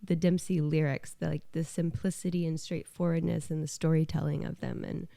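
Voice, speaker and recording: A woman's voice: sits low, little pitch movement, brisk, creaky, warm tone, no echo. A young American woman co-hosting a podcast, chatting casually and telling stories in a laid-back, sarcastic manner. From Alabama and the southeastern US. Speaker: podcast co-host. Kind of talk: casual conversational storytelling.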